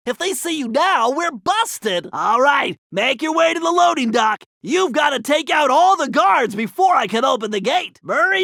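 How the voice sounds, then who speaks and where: Brainy cautious turtle hacker, video game cartoon, nasal, gravelly creak, precise and wordy, dry close sound. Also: male voice, very high voice, bright, forceful tone, no room sound, exaggerated voice. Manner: precise, wordy, slightly fussy.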